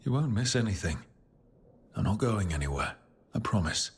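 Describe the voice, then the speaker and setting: An actor playing a charming, steadfast hero in a video game, speaking softly with tender reassurance and gentle teasing. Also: male voice, on the low side, big pitch swings, normal speed, slightly rough, full character voice. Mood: tender, reassuring. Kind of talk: intimate video game dialogue. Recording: faint music underneath.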